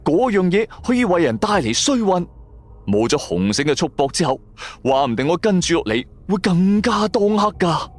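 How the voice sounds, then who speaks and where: A man's voice: high-pitched, big pitch swings, moderate pace. A man with a Cantonese accent narrates a scripted first-person story in a colloquial, dramatic storytelling manner. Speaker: storyteller performing an audio drama. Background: background music.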